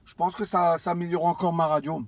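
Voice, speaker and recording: A man's voice: a little high, flat delivery, fairly quick, dry recording. A man around forty chatting casually as a CB radio operator, rambling and repeating his phrases. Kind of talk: casual radio contact chat.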